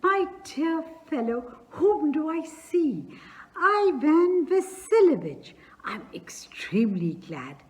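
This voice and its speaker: A woman's voice: sing-song, slow, exaggerated voice. A woman reading aloud as an effusive, fussy older male landowner who showers his guest with endearments. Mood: gushing. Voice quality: gushing, loud and high-pitched endearments.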